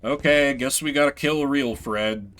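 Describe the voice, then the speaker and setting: A man's voice: mid-range voice, measured pace, a bit bright, soft background music. A man streaming a video game with casual, wry, joking commentary, thinking aloud as he plays.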